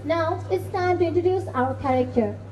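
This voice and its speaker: A female narrator presents a scripted school play. Her voice is very high and mellow in tone. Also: moderate pace, highs cut off.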